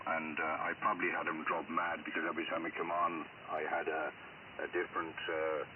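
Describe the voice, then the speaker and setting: A man's voice: low-pitched, far from the mic. A man chatting casually over amateur radio, rambling and hesitant, a little self-deprecating. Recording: muffled narrowband shortwave radio with loud hiss. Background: radio hiss.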